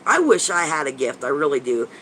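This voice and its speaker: A man in his fifties talks with a bright, pressed-forward edge, a little high for a man. He sounds stuffy and nasal, and he rambles and gushes, often restarting his sentences. A faint hiss sits under his voice. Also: big pitch swings, fairly quick. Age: 50s. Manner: rambling and gushing, with frequent restarts and casual swearing. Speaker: paranormal investigator hosting a live chat. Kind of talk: casual livestream chat answering viewers.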